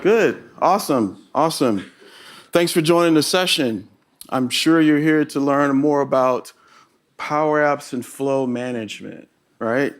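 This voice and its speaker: A middle-aged man with a Texas accent giving a tech conference talk to a live audience. He keeps the room engaged, often tagging his points with a quick "right?". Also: mid-range voice, soft background music. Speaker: conference presenter.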